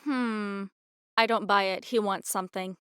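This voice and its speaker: A woman's voice: medium pitch, fairly even intonation, a little slow, fairly bright tone, smooth, dry recording, clean studio sound, lightly acted. A woman playing a distrustful, blunt young adventurer in a fantasy drama, with a sardonic manner. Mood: suspicious, curt. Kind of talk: fantasy audio drama acting.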